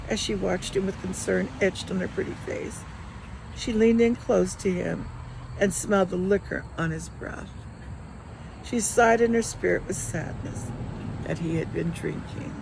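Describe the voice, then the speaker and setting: A woman reading her own novel aloud as a storyteller, with expressive, dramatic narration. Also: mid-range voice, creaky, background noise. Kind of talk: expressive audiobook-style narration.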